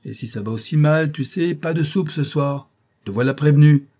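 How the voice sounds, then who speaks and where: A man's voice: on the low side, normal speed, darkish tone, husky. A man voicing a menacing violin teacher of about thirty in an audiobook story, barking orders and scolding. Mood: cold, scolding.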